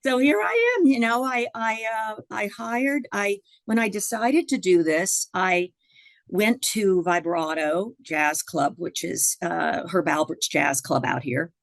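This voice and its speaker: A middle-aged woman from Miami, a jazz singer, telling her story in a relaxed interview, chatty with fillers and restarts and frequent laughs. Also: mid-range voice, slow pace, vocal fry, dry recording. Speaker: guest jazz vocalist.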